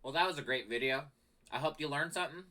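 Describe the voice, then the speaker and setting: A man's voice: fairly high pitch, quick pace, background noise. A man hosting a reaction video, giving blunt, plain-spoken commentary. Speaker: reaction channel host.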